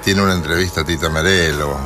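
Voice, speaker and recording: A man's voice: deep voice, fairly even intonation, fast talker, music in the background. An older Argentine man with a Rioplatense accent, co-hosting a radio show and chatting with curious questions. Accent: Rioplatense Spanish. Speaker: radio co-host. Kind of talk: casual radio chat.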